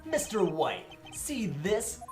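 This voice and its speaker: A brash young defense attorney from a comedic courtroom game, voiced by a man. His pitch leaps around as he talks, sarcastic, slangy and excitable. The sound is roomy, with light music. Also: slow pace, heavily acted. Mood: accusing. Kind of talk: comedic fan dub of a courtroom video game.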